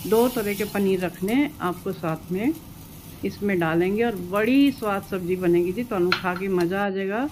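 A woman's voice: mid-range voice, normal speed. Chatty woman with a lilt. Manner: homely, instructive, repeats words for emphasis. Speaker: cooking channel host. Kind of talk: chatty cooking narration. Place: home kitchen, indoors. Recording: loud sizzling pan noise. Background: frying and stirring sizzle.

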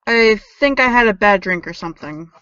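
A woman's voice: mid-range voice, animated, fairly quick, a bit bright, no room sound, muffled recording, natural voice. A woman playing a flippant, evasive teenage tech girl in an audio drama, with a glib, snarky delivery. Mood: evasive, awkward.

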